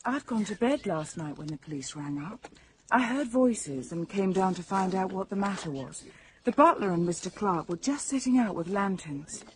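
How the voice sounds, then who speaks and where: A woman's voice: medium pitch, animated, normal speed, natural voice, low-quality recording. An actress playing a polite, composed private secretary in a radio drama, proper and precise in her speech. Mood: composed, subdued.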